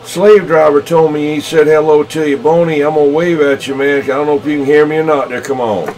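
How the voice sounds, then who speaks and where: A man's voice: fairly high pitch, flat delivery, brisk, low-quality recording. A man chatting casually as a CB radio operator, folksy and slangy in his talk. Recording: CB radio session.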